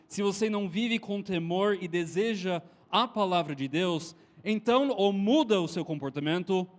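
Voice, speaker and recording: An American man from Texas, speaking a second language, delivers a sermon at an unhurried pace. His voice sits a little high for a man, and he presses hard on each command. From Texas, United States. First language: English. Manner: slow, deliberate preaching, stressing commands. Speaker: pastor.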